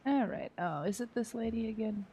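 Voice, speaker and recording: A woman's voice: a little high, brisk. A woman chatting casually and playfully on a game stream, often murmuring little sound words to herself. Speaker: live streamer. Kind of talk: casual gameplay stream chat. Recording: game music behind the voice. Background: soft video game background music.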